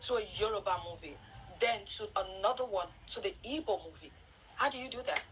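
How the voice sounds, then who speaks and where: A Nigerian woman hosting a live interview, reading viewer questions aloud and talking to her audience in a lively way. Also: medium pitch, a little fast, a little hiss or hum, rough recording. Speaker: host. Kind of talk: live social media interview.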